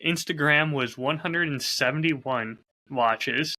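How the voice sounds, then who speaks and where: A man's voice: on the high side, slow, bright, forceful tone. A man in casual podcast conversation, talking as a host in an upbeat, enthusiastic way about his own work. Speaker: podcast host and audio drama creator.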